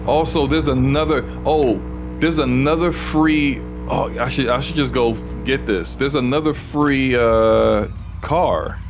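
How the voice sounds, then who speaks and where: A man with a deep voice chatting casually while he streams a video game, rambling and restarting his sentences. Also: unhurried, over music. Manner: rambling, relaxed, frequent restarts. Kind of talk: casual gaming livestream commentary.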